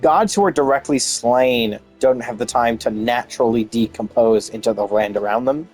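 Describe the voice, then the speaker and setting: A man's voice: mid-range voice, normal speed, darkish tone, faint music behind, rough recording. A man giving casual, off-the-cuff game commentary, explaining things informally and often interrupting himself. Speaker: game streamer.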